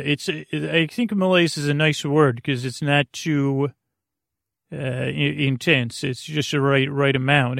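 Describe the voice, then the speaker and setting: A middle-aged American man with a creaky voice hosts a bedtime podcast in a meandering, halting, soothing monologue, easily sidetracked. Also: on the high side, a bit bright, no echo, studio quality.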